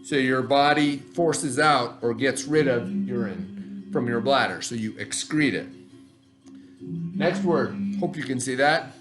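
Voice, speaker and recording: A man's voice: medium pitch, takes it slowly. Male teacher instructing young kids, music behind. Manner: instructive, spells and sounds out words, checks with "right?". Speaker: elementary school teacher. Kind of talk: read-aloud lesson for young children, explaining vocabulary.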